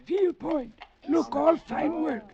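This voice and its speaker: Street hawker, very high voice, slow broken English, insistent, old radio drama. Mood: insistent hawking. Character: pushy street pedlar of knives speaking broken English. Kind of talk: 1940s radio acting. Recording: muffled old radio recording, crowd behind.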